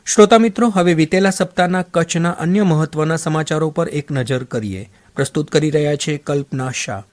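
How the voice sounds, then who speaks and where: A man's voice: a little high, brisk, soft background music. A man presenting scripted radio news.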